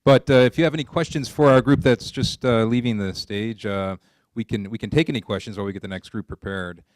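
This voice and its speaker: A man informally hosting a live event on microphone, improvising in a halting way between segments. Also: fairly low pitch, fairly even intonation, no room sound. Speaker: event presenter filling time between groups. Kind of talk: informal announcements to an audience. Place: college library event, on microphone.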